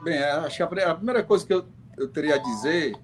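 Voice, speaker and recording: A man giving his opinions on an online panel, thinking aloud in a reflective, halting way. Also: fairly high pitch, expressive, soft background music. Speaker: guest. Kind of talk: unscripted opinion talk on a live online panel. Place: remote video call. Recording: clearly noisy online call audio.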